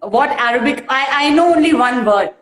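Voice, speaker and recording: A woman's voice: high-pitched, animated, normal speed, roomy sound. A woman guest chatting in an interview, emotional and bubbly, with lots of fillers. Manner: emotional and bubbly, laughs often, many fillers. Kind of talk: casual interview chat.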